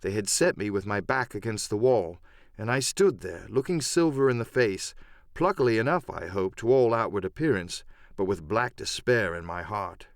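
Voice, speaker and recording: A man reading dramatic first-person adventure narration for an audiobook, measured and literary. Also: fairly low pitch, animated, no room sound, studio quality.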